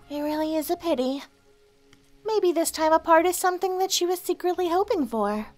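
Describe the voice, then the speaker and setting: Woman acting a high-voiced, sugary, possessive lovestruck girl. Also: a little mellow. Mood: coy, insinuating. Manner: coy, sugary, insinuating, hesitant. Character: sweet, clingy, possessive lovestruck young woman neighbor. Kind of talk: ASMR roleplay addressed to the listener.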